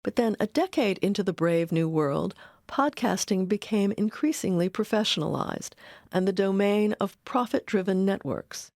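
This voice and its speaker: A woman's voice: mid-range voice, animated, moderate pace, gravelly, a little mellow, no echo, studio quality. A woman reading scripted documentary narration as its narrator. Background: music.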